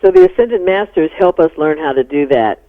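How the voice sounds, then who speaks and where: A woman's voice: low-pitched, wildly swooping pitch, fairly quick. A middle-aged American woman explaining things as a psychologist guest in a radio interview. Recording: narrow-band phone-like radio sound.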